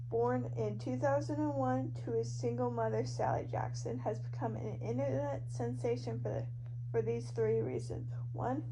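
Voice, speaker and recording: High-voiced woman reading fan fiction, noisy recording. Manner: informal, stumbles over words. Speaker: amateur podfic reader. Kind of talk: expressive fan fiction reading of social media posts and narration. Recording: noisy amateur home recording.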